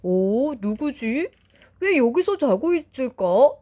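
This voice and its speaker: A woman voicing small, curious, excitable fairy-tale dwarfs, exclaiming in wonder. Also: high voice, slow pace, heavily acted. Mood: surprised, curious.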